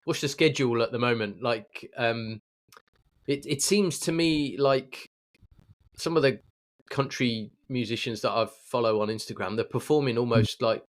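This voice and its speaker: A man's voice: mid-range voice, moderate pace. A man hosting a casual podcast interview, asking questions tentatively and searching for his words.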